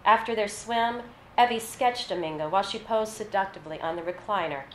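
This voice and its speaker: Woman, measured literary reading, mid-range pitch, roomy echo, faint background noise, live event. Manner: measured, scripted narration with read-out dialogue. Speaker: author reading short fiction aloud. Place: live reading in an echoey venue.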